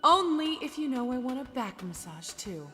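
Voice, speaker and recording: A woman's voice: sits high, very animated, normal speed, a bit bright. A woman playing a fiery, haughty, devoted young demon bride in an audio drama, in long reverb. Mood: teasing. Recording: long hall reverb, music underneath.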